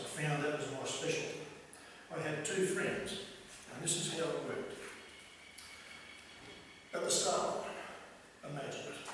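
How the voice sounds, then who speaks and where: A man giving a humorous storytelling speech to a live audience, theatrical, with dramatic pauses and swells. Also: on the high side, a bit bright. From Australia. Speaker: club speaker telling a tall tale. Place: large echoey room at a club meeting. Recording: very echoey hall from far off, faint noise.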